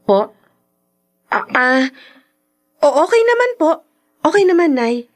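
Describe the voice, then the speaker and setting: Radio drama ingénue: young Filipino housemaid, high register, meek, polite, tender-hearted. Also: female voice, very animated, slow, heavily acted. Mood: flustered, reassuring. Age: young adult. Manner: soft-hearted, timid, very polite. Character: meek, sweet young housemaid and devoted daughter.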